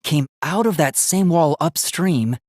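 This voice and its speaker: A man's voice: a little high, unhurried, darkish tone, no echo, studio quality. A man voicing an earnest, logical young male robot student in a video game, speaking in a formal, precise, analytical way. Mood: analytical, serious. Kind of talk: English video game voice acting.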